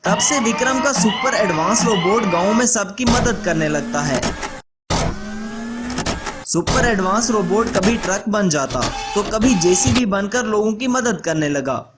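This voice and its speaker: High-pitched man narrating a story. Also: lively intonation, a little slow, loud music behind the voice. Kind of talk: scripted narration of a children's story.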